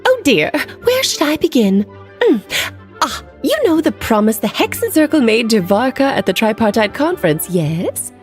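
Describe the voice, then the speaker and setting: A woman's voice: on the high side, big pitch swings, moderate pace, faint music behind. A woman playing a playful, flamboyant, mischievous witch who talks in a theatrical, teasing, sing-song way in game cutscene dialogue.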